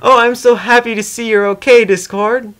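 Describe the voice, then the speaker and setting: A man voicing a small, kindhearted female pony with an earnest, gentle manner in a story reading. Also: very high-pitched, fairly even intonation, moderate pace, smooth, voice pushed up. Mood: tearful relief.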